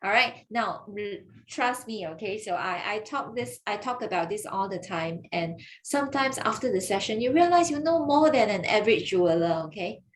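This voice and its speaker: A woman's voice: mid-range voice, expressive, moderate pace, hardly any pauses, a little hiss or hum, low-quality recording. A woman from Malaysia, a gemologist hosting a webinar in a chatty, teasing way with frequent laughs, speaking over a video call. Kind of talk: casual webinar talk.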